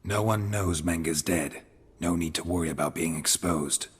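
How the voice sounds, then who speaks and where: An actor playing a gruff, terse monster hunter in a video game, with a dry, deadpan delivery and a gravelly voice. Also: male voice, low voice, moderate pace, in a large hall. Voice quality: gravelly, husky. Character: gruff, laconic male monster hunter, coolly pragmatic.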